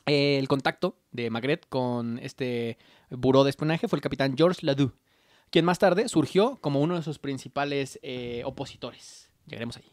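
A man's voice: mid-range voice, normal speed, darkish tone, no echo. A young man with a Mexican accent, reading history notes on a podcast and dropping slangy asides, recorded on a desk mic in a small studio. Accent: Mexican Spanish. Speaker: podcast host telling the story. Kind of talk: casual history podcast narration. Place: small home studio with colorful shelves. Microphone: desk mic on arm, headphones.

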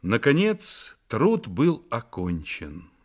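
A man narrating a literary radio play as the author's voice, measured and reflective, with deliberate pauses. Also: mid-range voice, huge pitch swings, heavily acted. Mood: lyrical, tender. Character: authorial narrator of a family novel, reflective and solemn. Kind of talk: scripted literary narration, dramatic radio reading.

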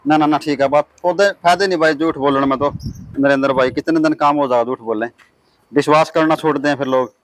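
A man, hosting a dairy farm walkthrough outdoors and briskly affirming with short agreements. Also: a little high, fairly even intonation, no echo, poor audio. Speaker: dairy video host. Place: outdoor farmyard.

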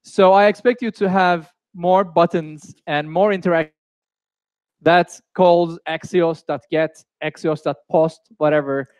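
A man's voice: a little high, fairly even intonation, measured pace, a little mellow, clear, resonant tone, dry recording. A young man hosting a live online coding course, chatty, with fillers and restarts. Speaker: course host.

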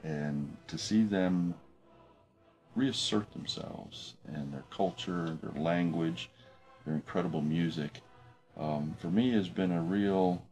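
A man in late middle age, a business professor who grew up speaking French, talking thoughtfully in a documentary interview in his office, with many pauses and restarts. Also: low-pitched, darkish tone, faint music behind. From United States. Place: office with desk and window.

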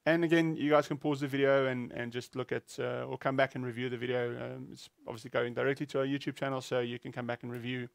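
A man's voice: mid-range voice, level tone, fast talker, creaky, hardly any pauses, no room sound. A middle-aged man explaining product specifications in an informal talk, a little hesitant and often repeating words as he searches for details. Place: small indoor studio set at a desk.

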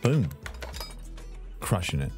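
A man's voice: sits low, big pitch swings, slow pace. Goofy man playing a car game. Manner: goofy, sings and makes silly sound effects. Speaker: gaming channel host. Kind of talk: playful gameplay commentary. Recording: faint game music behind.

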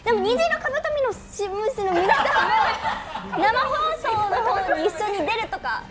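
A young adult woman co-hosting a game radio show, animated and giggly, with bubbly presenting and banter. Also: very high-pitched, normal speed, over music, crowd noise. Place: small bright room with a table, indoors.

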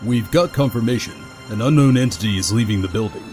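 A man's voice: on the low side, fast, a little mellow, faint music behind, lightly acted. A man voicing an earnest surveillance agent reporting officially to his superior in a comic-book dub. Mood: serious.

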